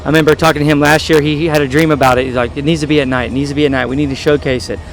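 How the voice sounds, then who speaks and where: A man's voice: fairly high pitch, steady tone, very fast, a little hiss or hum. A man commentating live at an outdoor water-ski event, talking up the organizer with enthusiasm.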